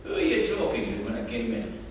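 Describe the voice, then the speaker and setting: A man's voice: mid-range voice, big pitch swings, fast, background noise, close to an everyday voice. Casual drunk toff, nosy, sly, drawls then bursts out, played by a man on a distant stage. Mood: prying, teasing. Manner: theatrical, sly, drawling with sudden outbursts. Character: drunk posh upper-class man, nosy and teasing. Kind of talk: stage play performance. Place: theatre stage. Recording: echoey theatre stage from far off.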